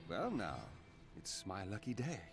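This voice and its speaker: A man's voice: lowish voice, very animated, a little slow. A man playing a cocky, flirtatious rogue in a fantasy video game, with a wry delivery.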